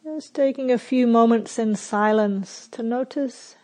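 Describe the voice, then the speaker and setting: A woman meditation teacher guiding a sitting meditation in a soft, unhurried way with long pauses. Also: a little high. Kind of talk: guided meditation. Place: retreat center.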